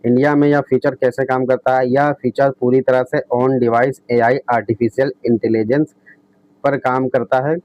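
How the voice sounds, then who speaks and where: A man's voice: mid-range voice, little pitch movement, normal speed, darkish tone, dry recording. A man explaining tech as a video host, reading out points and restating them with frequent checking tags. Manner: repetitive, often adds a checking tag. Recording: dull lo-fi close recording.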